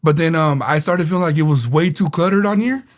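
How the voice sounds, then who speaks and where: A man co-hosting a casual podcast, relaxed and rambling, with lots of fillers and repeated phrases, a bit under the weather. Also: fairly high pitch, brisk. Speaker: podcast co-host.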